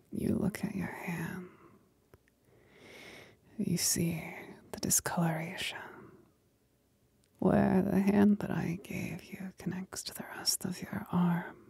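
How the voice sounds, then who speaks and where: Possessive demon companion played by a woman, ASMR roleplay: very slow, dark breathy whisper, seductive and commanding. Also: fairly low pitch, no room sound, exaggerated voice. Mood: possessive, solemn. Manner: slow, deliberate, soothing yet domineering.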